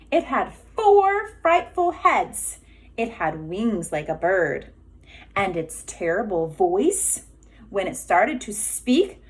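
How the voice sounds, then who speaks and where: Slow, animated woman reading a storybook. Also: mid-range voice. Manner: emphatic, drawn-out key words. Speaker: kindergarten teacher reading aloud. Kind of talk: expressive storybook narration for young children.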